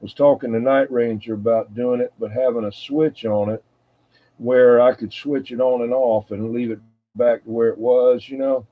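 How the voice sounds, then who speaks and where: A man's voice: medium pitch, fairly even intonation, normal speed. A man chatting casually and folksily in a meandering way as a radio hobby show host. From United States East Coast. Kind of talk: casual rambling hobby chat. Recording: muffled, phone-like narrow sound.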